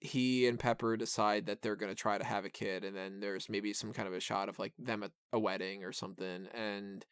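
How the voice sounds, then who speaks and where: A man's voice: fairly low pitch, fairly even intonation, fairly quick, hardly any pauses, smooth, no echo, clean studio sound. A man hosting a film review podcast, talking casually and giving opinions in a rambling, self-interrupting way full of "like".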